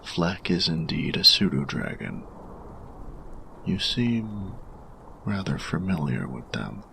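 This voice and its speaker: Very deep, gravelly, formal man acting as artificer. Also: sing-song, pushed and bright, slight background noise, full character voice. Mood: scholarly, curious. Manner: formal, precise, measured, scholarly diction. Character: grumpy male artificer, learned, formal, reserved steampunk inventor. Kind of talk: scripted audio roleplay, one-sided dialogue.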